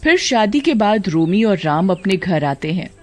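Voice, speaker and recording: A woman's voice: medium pitch, very animated, normal speed. A woman giving scripted narration for a cartoon family story. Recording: background music.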